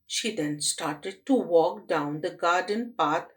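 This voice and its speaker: A woman teacher reading a story aloud to young children in deliberate, expressive phrases. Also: on the low side. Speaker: storytelling teacher at a day care.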